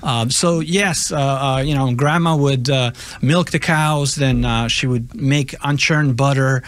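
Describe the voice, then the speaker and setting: A man's voice: medium pitch, a little slow, a bit bright. A man in his forties, born in Serbia, telling his story as a chef guest in a radio studio, with many fillers and restarts. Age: 40s. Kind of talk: interview storytelling. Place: radio studio, seated at table. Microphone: studio boom mic.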